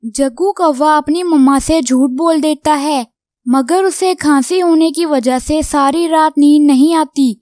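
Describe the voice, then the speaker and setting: A woman's voice: high voice, unhurried, a little mellow, no echo, clean studio sound. A woman reading scripted narration for a children's cartoon story.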